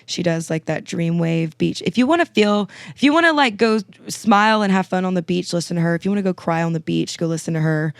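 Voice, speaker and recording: A young woman in her twenties, naturally raspy and a bit stuffed up from a cold, chatters eagerly and piles on fillers. Her voice stays smooth and clear under the rasp. The sound is dry and close. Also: on the low side, fast, hardly any pauses, dry recording. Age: 20s. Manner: chatty, gushing, lots of fillers. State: a little sick, sinusy. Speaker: guest singer-songwriter. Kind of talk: casual podcast chat.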